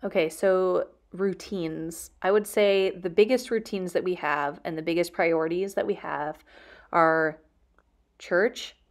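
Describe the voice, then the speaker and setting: Woman with a lowish voice, speaking candidly. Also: steady tone, unhurried. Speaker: homemaking vlogger. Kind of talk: casual question-and-answer voice-over.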